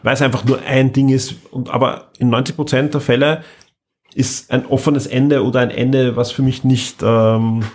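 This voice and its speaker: A man with a mid-pitched, husky voice hosts a podcast. He chats casually and reviews with emphatic enthusiasm. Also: flat delivery, moderate pace, darkish tone. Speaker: podcast host. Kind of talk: casual chat reviewing audio dramas.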